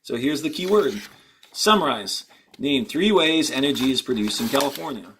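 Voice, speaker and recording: Animated male teacher reading aloud. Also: mid-range voice, a little hiss or hum, rough recording. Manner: deliberate, instructional, emphasizes key words. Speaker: fourth-grade teacher. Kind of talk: reading a textbook aloud with instructional asides.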